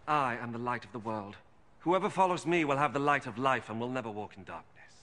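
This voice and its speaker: An actor in his thirties playing a calm, authoritative holy teacher in a biblical film, speaking deliberately and earnestly. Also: mid-range voice, slight background noise. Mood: calm, firm. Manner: deliberate, declarative.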